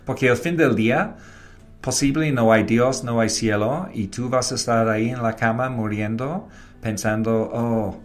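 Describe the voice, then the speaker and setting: A man's voice: medium pitch, unhurried, soft background music. British-accented man, non-native Spanish, reflective guest, mid-range voice. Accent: British English. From Wales, Britain. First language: English. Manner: non-native Spanish, short declarative sentences, slips into English words. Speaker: guest, advertising creative and professor. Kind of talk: reflective interview conversation.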